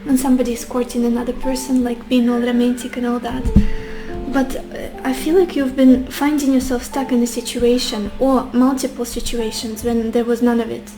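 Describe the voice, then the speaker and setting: A woman, a little sick and losing her voice, giving a gentle tarot reading to her viewers and musing aloud with soft hesitations. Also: fairly high pitch, level tone, normal speed, music in the background. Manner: gentle, addresses viewers warmly as beautiful souls. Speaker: tarot reader.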